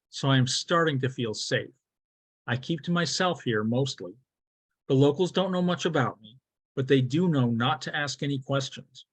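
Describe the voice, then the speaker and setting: Male contest speaker, mid-pitched. Mock-serious, theatrical comic storytelling with deliberate emphasis. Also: slightly rough. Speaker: club speech contestant. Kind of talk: humorous storytelling speech, rehearsed.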